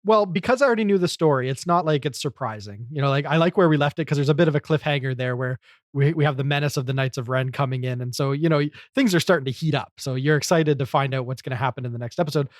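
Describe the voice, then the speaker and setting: A man's voice: mid-range voice, quick pace, dry recording, clean studio sound. A man in a casual podcast discussion, talking in a rambling, conversational way as a commentator.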